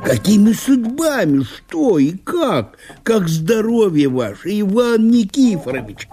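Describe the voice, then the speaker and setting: A man's voice: high voice, big pitch swings, slow pace, over music, pitched above the performer's own voice, full character voice. A man playing a genial, fussy, easily astonished provincial judge with a courteous, exclamatory manner in an audio drama. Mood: startled.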